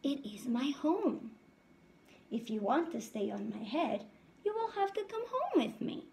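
Woman voicing a high-pitched crafty little mouse. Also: big pitch swings, darkish tone, slight background noise, full character voice. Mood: firm, pleading. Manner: emphatic, deliberate storybook delivery. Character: upset but crafty male mouse, politely bargaining.

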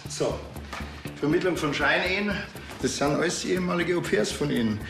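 A man's voice: on the high side, expressive, normal speed, creaky. An actor playing a dry, impatient policeman with a Bavarian accent in a TV crime comedy. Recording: roomy sound with music.

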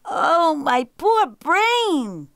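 A woman's voice: very high-pitched, big pitch swings, drawn-out words, vocal fry, a bit bright, dry recording, clean studio sound, voice pushed up, heavily acted. A woman voicing a sly jackal in a children's folktale, acting confused and dithering with exclaimed, puzzled delivery. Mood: flustered, muddled. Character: sly jackal feigning muddled, dim-witted confusion. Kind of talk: animated children's folktale storytelling.

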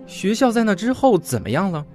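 An actor playing a cocky teenage student in a radio drama, sardonic and defiant. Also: male voice, high-pitched, sing-song, a little fast, a little mellow, faint music behind.

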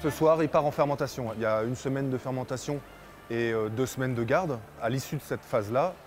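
Mid-pitched man over clear music. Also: brisk. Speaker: brewer, co-manager. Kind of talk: step-by-step explanation. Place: indoors in a brewery hall.

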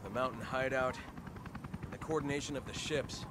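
Male actor, young military agent, determined, clipped, medium pitch, game dialogue, very noisy background. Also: moderate pace, natural voice. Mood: frustrated. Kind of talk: video game voice acting.

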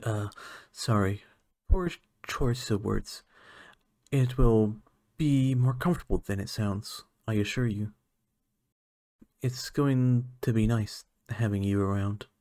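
A man's voice: lowish voice, slow pace, dark tone, no echo, studio quality. A man playing a lonely, earnest alien scientist in an ASMR roleplay monologue, talking in a hesitant, musing way. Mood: shyly pleased.

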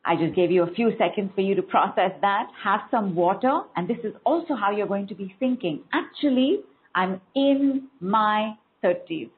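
A woman's voice: medium pitch, lively intonation, moderate pace. A woman wellness coach giving an emphatic motivational teaching talk, repeating key words in rhythmic lists. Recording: tinny phone-like sound.

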